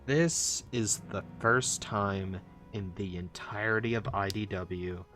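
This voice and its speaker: Low-voiced man narrating over music. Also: slow, fairly bright tone. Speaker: narrator of a comic analysis video. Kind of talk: scripted narration.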